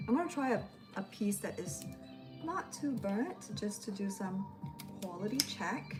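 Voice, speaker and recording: A woman chatting casually on a livestream from home as a home cook, rambling in a relaxed way while she packs and snacks. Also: mid-range voice, measured pace. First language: English. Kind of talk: casual live-stream chat. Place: home, indoors. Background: lo-fi background music.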